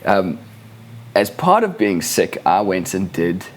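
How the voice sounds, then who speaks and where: A man hosting a casual podcast, husky and hoarse from a cold, chatty and laughing as he tells stories with many restarts. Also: sits low, sing-song, takes it slowly. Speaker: podcast host.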